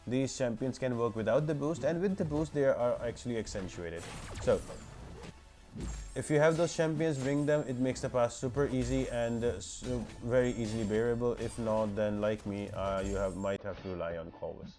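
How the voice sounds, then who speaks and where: A man's voice: medium pitch, moderate pace, a little mellow, faint music behind. A man giving informal walkthrough commentary for a mobile game, explaining things step by step in an instructional way. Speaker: gaming guide video host.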